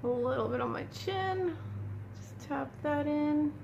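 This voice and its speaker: A woman's voice: high-pitched, unhurried, vocal fry, highs cut off, noisy background. A woman giving a casual makeup tutorial at home, chatting to viewers as she applies her products, sniffling a little. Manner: chatty, talks while applying makeup, addresses viewers as "you guys". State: sniffling from a cold room. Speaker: beauty vlogger. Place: indoor room at home.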